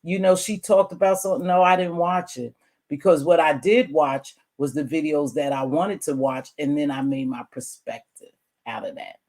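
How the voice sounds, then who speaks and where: A hoarse-voiced woman in a heated casual rant to her viewers, sassy and scolding. She flares into loud, pitched-up outbursts, then drops to low, muttered asides. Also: normal speed. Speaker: online streamer.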